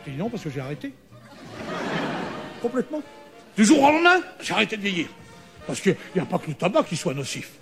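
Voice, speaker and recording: A man's voice: high voice, big pitch swings, quick pace, creaky, pauses often, over music. A man performing a live comic monologue as a stand-up comedian.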